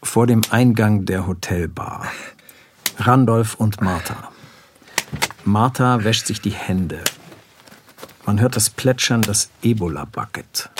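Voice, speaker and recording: Ein Mann liest als Erzähler eines Hörspiels knappe, sachliche Szenenanweisungen. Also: male voice, fairly low pitch, slow pace, pauses often, background noise. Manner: terse, matter-of-fact. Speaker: narrator reading scene directions. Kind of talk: slow scripted narration in a radio drama.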